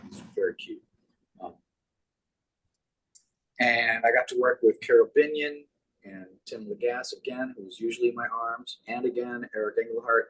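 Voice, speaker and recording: A man with a deep voice, chatting casually as an interview guest and reminiscing in an animated storytelling way. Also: normal speed, long pauses, poor audio. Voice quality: deep, husky. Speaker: guest, puppeteer.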